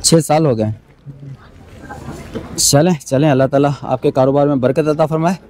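High-voiced male street vlogger, courteous and coaxing; traffic noise behind. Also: steady tone, moderate pace, a little mellow. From Pakistan. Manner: polite, urging, repeats short phrases. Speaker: charity vlogger. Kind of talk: casual vlog narration. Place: busy street and small shops outdoors. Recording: noisy street ambience with traffic.

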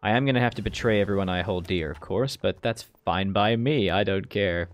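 A man in his thirties with a gravelly voice, livestreaming a strategy game from home, chatty and joking while reading chat and thanking followers. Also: low voice, a little fast. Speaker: streamer. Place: home streaming room, indoors.

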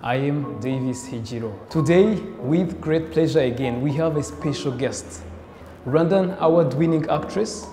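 A man's voice: a little high, measured pace. Male interviewer, young adult, formal delivery, reverberant room with music behind. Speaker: interviewer, host. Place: indoor room with bare walls, seated.

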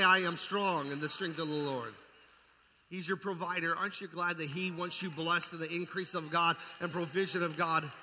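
High, bright-voiced preacher in reverberant hall. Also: male voice, a little hiss or hum. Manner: fervent, emphatic, repeats phrases for emphasis; puts rhetorical questions to the congregation. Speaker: pastor preaching to a congregation. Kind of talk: charismatic evangelical sermon. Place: large indoor church hall. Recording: long hall reverb, amplified church sound.

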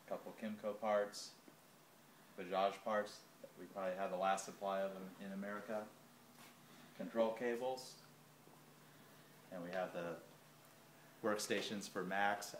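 Man, 30s, casual shop-tour guide, low voice, echoey warehouse with a faint hum. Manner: lists items while walking, filming handheld. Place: large indoor parts warehouse and shop. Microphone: handheld phone camera.

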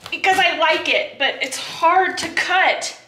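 A woman's voice: very high voice, slow, bright, forceful tone, echoey room. A woman in her twenties filming a casual cooking vlog in her small home kitchen, chatty, flustered and self-deprecating, picked up by a camera mic across the room. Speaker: cooking vlogger.

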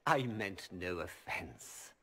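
An actor playing a scheming male merchant who talks in a smooth, formal, ingratiating way, with a husky voice. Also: low-pitched, lively intonation, takes it slowly, a bit bright, heavily acted. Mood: smug, ingratiating. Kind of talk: video game voice acting.

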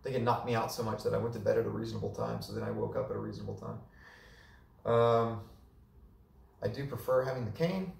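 A hoarse young man giving a casual, chatty update in a small living room, filmed by a camera a few meters away. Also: fairly low pitch, far from the mic. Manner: relaxed and chatty, with many fillers. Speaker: vlogger. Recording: echoey room, voice off-mic.

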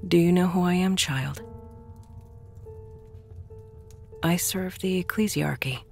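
A woman acting in an audio drama as a stern, devout church official, speaking in a solemn, deliberate, imperious manner. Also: fairly low pitch, gravelly. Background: faint music.

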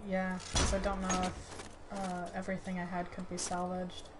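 A woman's voice: on the low side, completely flat delivery, normal speed, heavy background noise. A woman streaming a video game, chatting casually with a co-player over game sound. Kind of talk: casual co-op gameplay chat. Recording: game sound clearly behind the voice. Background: fantasy action game ambience.

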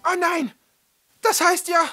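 A woman's voice: very high voice, flat delivery, slow. A woman playing a devoted, brave young messenger in an audio drama, speaking with earnest, dramatic urgency. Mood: anxious. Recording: reverberant hall, music behind.

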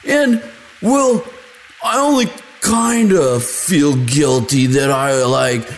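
Man acting a slow-talking, sweet himbo boyfriend. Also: fairly high pitch, soft background music, low-quality recording. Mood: smitten, excited. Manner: slow, dude-ish drawl full of likes and sighs. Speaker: audio roleplay performer. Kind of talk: intimate boyfriend roleplay, casual chat.

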